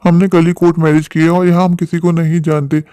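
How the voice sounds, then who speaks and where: A man's voice: on the high side, level tone, fast talker, dark tone, clear, resonant tone, no room sound, studio quality, close to an everyday voice. A man playing an earnest, protective young eloping bridegroom in a cartoon story, talking insistently and pleadingly.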